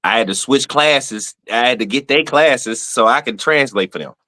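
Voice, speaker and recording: A man from Texas co-hosting a podcast, talking in a blunt, profane, casual way that gets animated when he is worked up. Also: mid-range voice, normal speed, dry recording. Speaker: podcast co-host. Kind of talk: casual chat.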